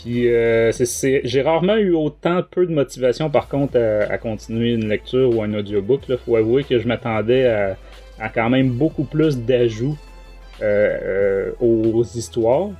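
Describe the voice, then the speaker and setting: A middle-aged man with a Québécois French accent, hosting a casual podcast chat in hesitant, halting phrases. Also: mid-range voice, breathy edge, dull audio, faint music behind. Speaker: podcast host. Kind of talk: casual talk show chat.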